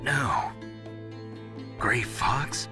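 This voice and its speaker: An actor playing a gruff, weary soldier hero in a video game cutscene, speaking in terse, skeptical, hard-boiled questions. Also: male voice, medium pitch, very slow. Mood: troubled, questioning. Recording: dramatic music under the voice. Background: dramatic game score.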